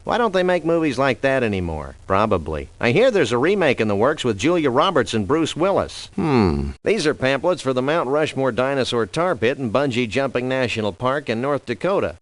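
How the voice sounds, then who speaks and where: A man voicing a laid-back, wry cartoon dog detective in a comic adventure game, speaking in wordy deadpan. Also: mid-range voice, a little fast, few pauses, dry recording, lightly acted. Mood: deadpan, dry.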